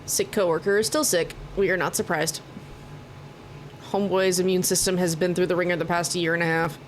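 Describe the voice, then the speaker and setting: A woman's voice: fairly low pitch, flat delivery, fairly quick, rough recording. An American woman rambling casually and informally as she livestreams a video game, sounding a bit tired. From Oklahoma, United States. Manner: rambling, informal, occasional swearing. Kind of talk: casual chatty gaming stream commentary. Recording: noticeable background noise behind the mic.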